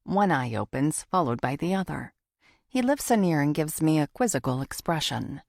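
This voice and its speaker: A woman's voice: low voice, animated, moderate pace, vocal fry, darkish tone, dry recording, studio quality. A woman narrating a first-person thriller audiobook from a script.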